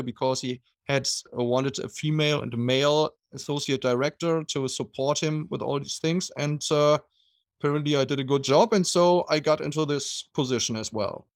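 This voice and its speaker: A man's voice: medium pitch, no echo, studio quality. A middle-aged man with a German accent, a water polo coach talking in a casual interview, hesitant with many fillers and restarts.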